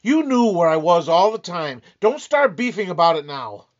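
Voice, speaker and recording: A man playing a groggy, grumpy husband in a radio-style comedy, tossing off deadpan wisecracks. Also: high-pitched, moderate pace. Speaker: community theater actor. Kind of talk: old-time radio comedy reading. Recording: dull lo-fi recording.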